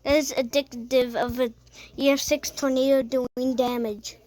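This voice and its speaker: An amateur announcer with a high voice play-acting a TV weather emergency broadcast, speaking haltingly with stumbles and self-corrections. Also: little pitch movement, a little mellow, slight background noise.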